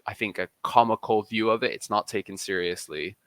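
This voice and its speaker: Bright, low-pitched man in conversation. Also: fairly even intonation, brisk, no echo. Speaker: interview guest, football journalist. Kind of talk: casual interview conversation.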